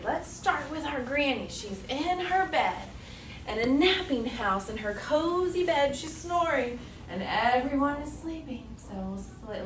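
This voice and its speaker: A woman with a high voice teaches yoga to young children in an encouraging singsong. She takes it very slowly, spelling out each step in simple words. She sounds some way from the mic in an echoey room. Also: a bit bright, a little hiss or hum. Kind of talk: instructing young children through poses, step by step. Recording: echoey room, distant mic, music behind.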